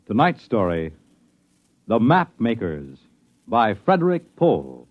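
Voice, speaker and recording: A man's voice: fairly low pitch, wildly swooping pitch, heavily acted. A man announcing science fiction tales with solemn drama in the style of 1950s radio. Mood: dramatic. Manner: slow, dramatic delivery. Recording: tinny noisy 1950s radio recording.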